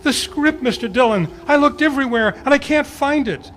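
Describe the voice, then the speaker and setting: A man's voice: extremely high pitch, animated, fairly quick, a bit bright. A man playing an anxious, pleading western deputy sidekick in an old-time radio parody. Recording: faintly noisy live club performance.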